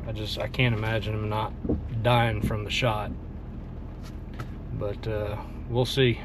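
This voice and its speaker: A man in his forties with a Texan accent, filming himself on a phone while bowhunting, talking in a relaxed, plain-spoken way. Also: on the low side, slow, very noisy. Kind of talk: casual self-filmed hunting vlog. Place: pickup truck cab and outdoors on a farm. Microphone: phone camera at arm's length.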